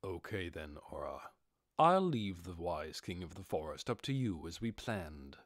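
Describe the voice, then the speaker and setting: Low, measured lordly master, acted by a man. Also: very animated, no echo, clean studio sound. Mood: calm, authoritative. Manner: measured, authoritative, formal. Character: composed lordly master keeping up a commanding act. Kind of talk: audiobook character voice.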